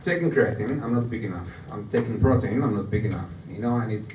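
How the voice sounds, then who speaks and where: Amateur male comic, Greek accent, animated pitch, halting casual storytelling, caught from a distance in an echoey room. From Greece. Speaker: amateur stand-up comedian. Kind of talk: stand-up comedy storytelling to an audience. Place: live open mic night in a bar. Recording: roomy, distant mic, noisy bar open mic. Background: room noise, audience laughter.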